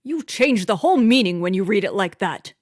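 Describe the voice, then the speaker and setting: A woman voicing a fussy, possessive husband who scolds loudly. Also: mid-range voice, lively intonation, a little fast, no room sound, studio quality, natural voice. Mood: scolding. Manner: sharp, scolding, loud.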